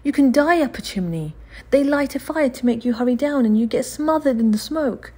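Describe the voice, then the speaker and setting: Woman playing a high-pitched, defiant little orphan boy. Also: expressive, normal speed, warm tone, slightly rough. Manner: pleading, insistent. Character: small frightened but defiant orphan boy.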